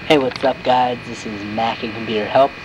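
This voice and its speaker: Clear tape noise surrounds a man's voice on a cassette recording. He speaks casually at a medium pitch, as a host leaving a short test message. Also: steady tone, moderate pace. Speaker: tutorial host. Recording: noisy cassette tape playback.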